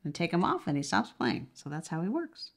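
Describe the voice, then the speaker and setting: A woman with a notably low-pitched voice talks through a product demonstration. She is casual and conversational, explaining each step as she goes. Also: sing-song, brisk, gravelly, a little hiss or hum. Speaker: product reviewer.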